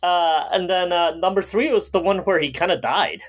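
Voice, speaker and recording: A man's voice: sits high, normal speed. A man co-hosting a podcast, chatting and reminiscing in a casual way. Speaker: podcast co-host.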